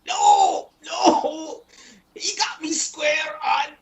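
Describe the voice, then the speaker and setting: A performer in a comic radio play as an exasperated, injured Irish man, loud and wailing with indignation. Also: high-pitched, extremely slow, creaky, heavily acted. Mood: pained, indignant.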